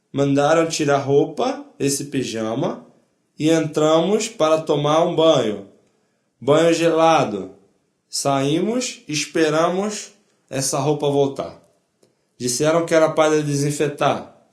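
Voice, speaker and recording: Slow-speaking elderly man with foreign accent, echoey. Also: fairly high pitch, a little mellow. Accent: foreign accent in Portuguese. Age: older. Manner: deliberate, emphatic, repeats short phrases. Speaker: Holocaust survivor giving testimony. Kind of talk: spoken first-person testimony to an audience.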